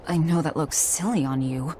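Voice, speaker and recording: A woman's voice: low voice, normal speed, warm tone, slight background noise, lightly acted. An actress voicing a curt, irritable, stern young woman warrior in a video game, with a blunt, impatient manner. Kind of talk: English-dub video game acting. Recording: music behind.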